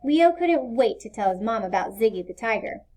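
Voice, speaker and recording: A woman reads a children's storybook aloud. Her voice sits somewhat high, and she delivers scripted narration for young listeners. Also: expressive, moderate pace, darkish tone. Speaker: storybook reader.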